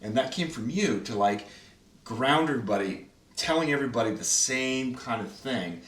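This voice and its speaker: A man's voice: medium pitch, lively intonation, moderate pace, a bit bright. Host on a cast chat: man, loose, chatty, lots of fillers, roomy sound, mic off at a distance. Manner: loose, filler-heavy, asks many questions. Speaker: host and creator of the radio play. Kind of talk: casual behind-the-scenes chat.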